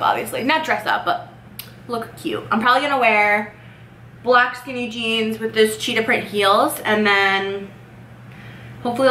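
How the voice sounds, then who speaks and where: Chatty young woman vlogging, bright voice. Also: mid-range voice. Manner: rambling, filler-heavy, upbeat chatter while doing makeup. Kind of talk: casual chatty vlog. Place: bedroom, indoors. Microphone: camera mic at desk distance. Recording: echoey room with faint noise.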